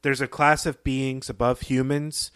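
Man, podcast co-host, average pitch, thoughtful and analytical, conversational literary discussion, very dry recording. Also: flat delivery, measured pace, fairly bright tone, clear, resonant tone, dry recording.

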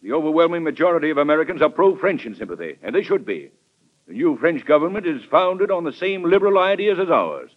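An actor playing a proud, idealistic Secretary of State in an old-time radio drama, formal, emphatic and declamatory. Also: male voice, on the high side, very animated, quick pace. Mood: indignant. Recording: dull vintage radio recording.

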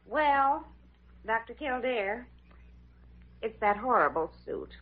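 An actress playing a fussy, bossy hospital nurse, fretful and scolding, in old-time radio drama style. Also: female voice, fairly high pitch, very animated, slow pace, exaggerated voice. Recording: dull vintage radio recording.

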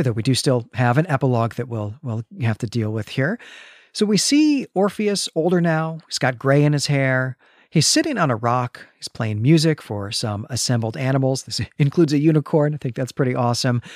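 A man's voice: mid-range voice, no room sound, clean studio sound. A man co-hosting a podcast, discussing a story in an analytical, conversational way and often checking in with tag questions. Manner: analytical, adds frequent tag questions like "right?". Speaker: podcast co-host. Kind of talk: conversational literary discussion of a comic.

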